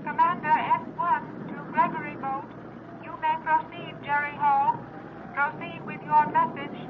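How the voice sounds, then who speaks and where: Cool young sub commander, high flat monotone, formal orders over tinny radio. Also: female voice, measured pace. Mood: commanding. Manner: clipped, formal military orders. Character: cool, authoritative young female submarine commander. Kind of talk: old-time radio adventure acting. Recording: tinny, noisy old radio recording.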